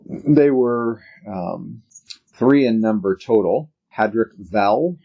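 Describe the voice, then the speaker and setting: A man working as game master, narrating a tabletop role-playing game in a halting way, thinking aloud as he goes. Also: on the low side, lively intonation, vocal fry. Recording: dull, lo-fi sound.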